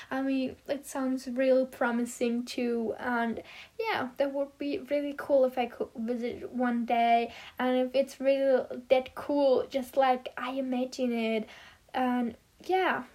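Teen girl, german first language, high voice, slow rambly chat with lots of ums and giggles. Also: little pitch movement, darkish tone. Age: teens. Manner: giggly, rambling, many fillers. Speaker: vlogger answering questions about herself. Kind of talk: casual chat.

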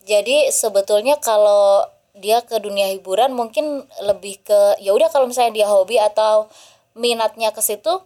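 A woman radio announcer with a Javanese accent, chatting in a relaxed way and laughing often. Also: medium pitch, moderate pace. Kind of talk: casual radio chat.

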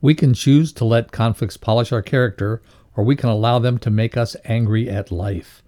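A man reading a motivational lesson aloud as a co-host, in a measured, instructive way. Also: mid-range voice, warm tone.

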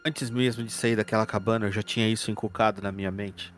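A man playing an earnest, uneasy detective in a horror roleplaying game, with formal, deliberate phrasing. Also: on the low side, steady tone, soft background music, lightly acted. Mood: hesitant, curious.

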